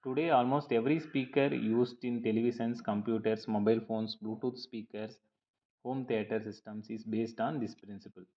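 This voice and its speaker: A man reading a scripted lecture over slides in a measured, slightly halting, explanatory way. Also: mid-range voice, fairly even intonation, warm tone, lo-fi sound.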